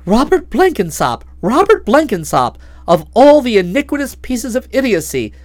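An outraged middle-aged wife, shrill and indignant, her lively voice leaping about, as a woman reader puts her on. She erupts in exclamations. The recording is close and dry. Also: moderate pace, no room sound.

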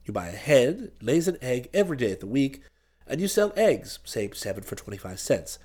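Man doing a hapless posh young english nephew in a story, earnest, hesitant, rambles on in a lowish voice. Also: big pitch swings, moderate pace, mellow. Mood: nervous explaining.

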